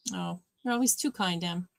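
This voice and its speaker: A woman with a deep voice hosting a live watch party, chatting casually and answering viewers' comments by name. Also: creaky. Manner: chatty, warm replies to chat, addresses viewers by name. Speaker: livestream host.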